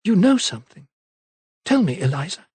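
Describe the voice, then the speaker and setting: A man's voice: sits high, big pitch swings, moderate pace, a little mellow, slightly rough, dry recording, voice pushed up, exaggerated voice. An eager, refined young noblewoman, as a male reader puts her on, speaking in a lifted voice. She presses urgently with question after question. The sound is close and dry. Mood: urgent, pressing.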